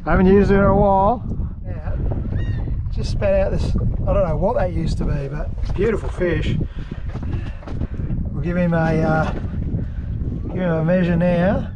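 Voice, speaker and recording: A man's voice: high voice, fairly even intonation, a little slow, pauses often. A middle-aged man giving casual, chatty commentary while fishing from a small open boat outdoors, filmed on an action camera. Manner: chatty, coaching, hushed asides. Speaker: fishing vlogger. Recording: muffled with loud wind and water noise.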